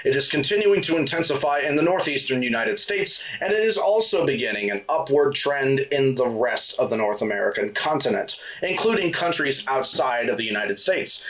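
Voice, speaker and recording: A man's voice: mid-range voice, close to an everyday voice, poor audio. A man playing a formal TV news anchor. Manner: formal, even newsreader delivery. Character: male TV news anchor, composed and businesslike. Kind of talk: scripted news report.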